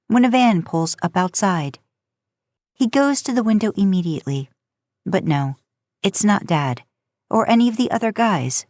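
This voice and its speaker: A woman's voice: mid-range voice, lively intonation, moderate pace, pauses often, darkish tone, no echo, studio quality. A woman reading a story aloud in audiobook-style narration. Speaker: podcast host and fan fiction narrator.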